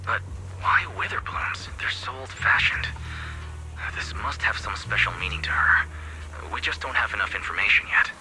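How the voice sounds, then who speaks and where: An actor playing a level-headed, thoughtful young man in a video game, measured and reassuring. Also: fairly low pitch, very noisy, lightly acted. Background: game music.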